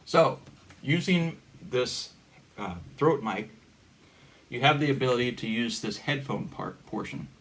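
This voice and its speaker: A man giving a casual hands-on gadget demonstration in a halting, informal, step-by-step way. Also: mid-range voice. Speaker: hobbyist demonstrator. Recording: steady background noise.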